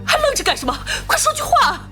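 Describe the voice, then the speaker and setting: An actress playing a desperate, bitter middle-aged mother cornered as a culprit in an animated crime drama, theatrical and pressuring. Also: very high-pitched, a little fast, faint music behind, full character voice. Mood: frantic, pressuring.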